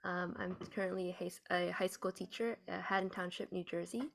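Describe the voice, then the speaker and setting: A young woman who teaches high school French, introducing herself politely and hesitantly on a video call. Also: flat delivery, brisk, gravelly. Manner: polite, hesitant, filler-heavy.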